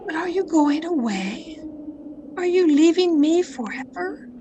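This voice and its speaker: A woman playing an obsessive, lovestruck mystery novelist in melodramatic old-time radio style, with pleading, deliberate delivery. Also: very high voice, lively intonation, noisy background. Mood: desperate. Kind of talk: 1940s radio mystery acting and narration. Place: at home, online video-call performance.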